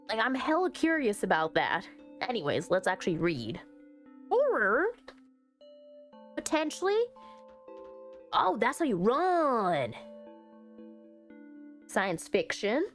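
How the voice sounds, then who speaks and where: Female game streamer, chatty and expressive, higher voice with lively swings, casual let's-play commentary. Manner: chatty, expressive, thinking out loud. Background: background music.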